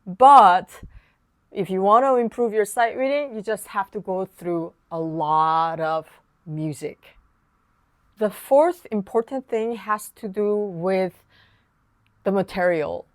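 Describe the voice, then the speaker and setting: A woman whose first language is Korean, a piano teacher explaining practice tips in a calm, casual lesson. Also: medium pitch, slow pace, no room sound. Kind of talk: online lesson talk.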